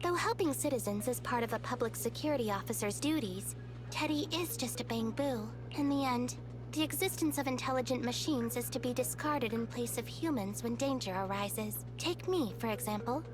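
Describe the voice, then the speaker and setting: A woman acting as a female police automaton from a video game, with a high voice and calm, formal phrasing. She sounds wise and detached, explaining things in a measured way. Music plays clearly behind her. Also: lightly acted, rough recording. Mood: detached, philosophical. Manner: measured, formal, matter-of-fact.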